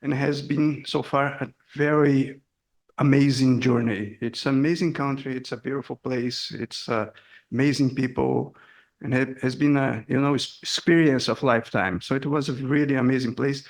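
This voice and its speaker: A man's voice: mid-range voice, steady tone, moderate pace, gravelly. A middle-aged man from Brazil giving a seminar talk in a hall, hesitant and full of fillers, laughing at himself. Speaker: guest speaker. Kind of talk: academic presentation.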